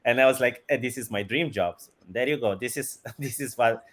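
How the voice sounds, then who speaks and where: A man's voice: mid-range voice, a little fast, fairly bright tone. A man, enthusiastically answering interview questions and chuckling often. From Romania. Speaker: guest, company CEO.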